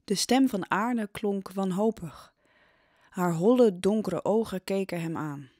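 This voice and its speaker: A woman's voice: medium pitch, measured pace, warm tone, dry recording, studio quality. A woman narrating a scripted audio drama as a dramatic storyteller.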